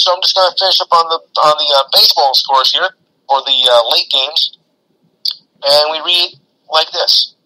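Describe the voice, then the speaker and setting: A man's voice: on the high side, sharp tone, low-quality recording. A man in his forties hosting a solo sports podcast, reading news items from his notes with many hesitant fillers. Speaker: podcast host.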